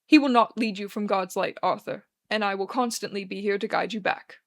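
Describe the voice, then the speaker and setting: Lady doing a stern preachy fatherly religious man, earnest and forceful, audiobook drama. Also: medium pitch, steady tone, brisk, natural voice. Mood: sincere. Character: stern, pious, paternal male religious mentor.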